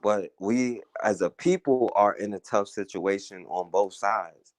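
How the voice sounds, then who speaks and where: A man's voice: lowish voice, unhurried. A man hosting a talk radio show, talking through a casual, earnest monologue, often saying "you know" and "right?".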